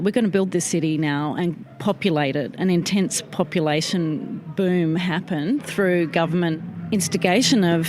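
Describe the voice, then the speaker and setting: Australian woman, lowish voice, enthusiastic, explanatory, podcast guest. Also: slight background noise. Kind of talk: casual interview chat.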